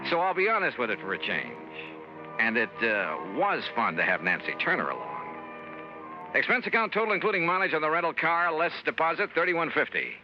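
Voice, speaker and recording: An American man playing a shrewd, self-assured detective in 1950s radio drama, speaking in a wry, knowing, deliberate way. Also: on the high side, very animated. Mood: wry, relaxed. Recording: dull 1950s radio recording, faint music.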